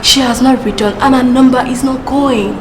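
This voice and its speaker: A woman's voice: high-pitched, fairly even intonation, normal speed, echoey room, noisy background, lightly acted. An actress as an earnest, worried woman. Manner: earnest, explaining. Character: worried woman searching for friend.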